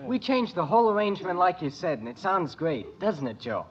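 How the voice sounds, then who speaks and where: An actor playing an eager, cheerful teenage son in a vintage radio sitcom, boyish and keen. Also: male voice, high-pitched, big pitch swings, fairly quick. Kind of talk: 1950s radio sitcom acting. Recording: echoey, distant, dull old radio broadcast.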